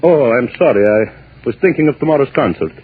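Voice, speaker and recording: An actor playing an older, jealous maestro husband in a 1940s radio drama, formal, curt and strained. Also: male voice, mid-range voice, animated, fairly quick, slightly rough. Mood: restrained suspicion. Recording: tinny 1940s radio recording.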